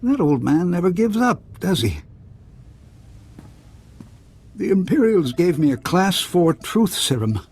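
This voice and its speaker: Husky, irascible scientist. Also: male voice, high voice, very animated, moderate pace, darkish tone, heavily acted. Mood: wry, weary. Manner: blunt, scornful, emphatic. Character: irascible, opinionated male scientist, proud and jaded.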